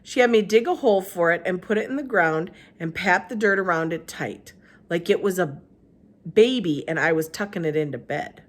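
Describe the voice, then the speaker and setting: A woman, a teacher, reading a children's novel aloud with expressive narration. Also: moderate pace. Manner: expressive, lively pitch. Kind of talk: storybook read-aloud narration.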